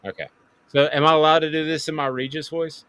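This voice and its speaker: Hyped, bright-voiced sports radio host. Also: male voice, a little high, steady tone, fast talker, clear, resonant tone. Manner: hammy, announcer-style hype. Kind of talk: sports talk radio banter.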